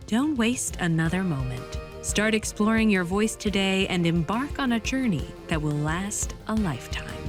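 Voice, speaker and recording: A woman's voice: medium pitch, animated, normal speed, few pauses. A woman narrating a scripted outro in an encouraging tone. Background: music bed.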